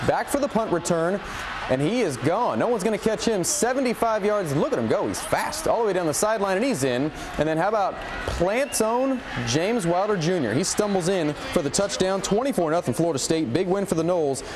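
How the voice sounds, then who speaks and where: A man anchoring TV sports highlights in a punchy, conversational style full of rhetorical questions. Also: animated, a little fast, background noise.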